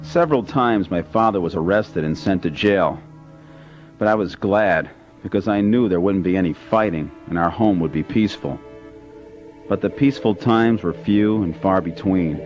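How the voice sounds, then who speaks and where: A man in a radio drama narrating his own story in a calm, measured way. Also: sits low, natural voice. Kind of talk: scripted first-person narration. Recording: lo-fi radio with music under.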